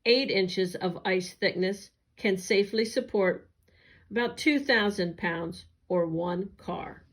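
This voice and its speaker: A woman reading an educational newsletter aloud in careful, clearly separated phrases. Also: mid-range voice, slow. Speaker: agency staff reader.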